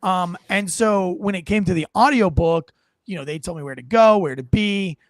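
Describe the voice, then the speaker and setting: A man's voice: sits high, normal speed, no room sound. A man, a former police officer, chatting casually and rambling with fillers and restarts. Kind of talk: casual chat about his audiobook.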